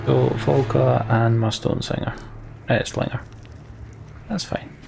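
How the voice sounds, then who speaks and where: Slow-paced, gravelly male gamer over music. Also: medium pitch, fairly even intonation. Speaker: let's play host. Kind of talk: casual gameplay commentary with some read-aloud narration. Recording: game music behind the voice. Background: video game music.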